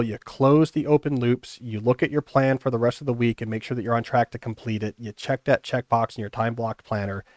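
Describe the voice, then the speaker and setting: A man's voice: medium pitch, no room sound, studio quality. A middle-aged man hosting a podcast in casual, joking conversation, with loose restarts. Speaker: podcast host.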